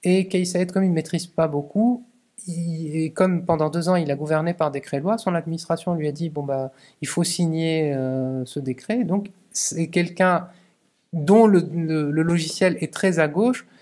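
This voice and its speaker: A man's voice: on the high side, normal speed, darkish tone. Hesitant man. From Tunisia. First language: Tunisian Arabic. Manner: hesitant, many restarts and fillers. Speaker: essayist answering audience questions. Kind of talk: conference talk.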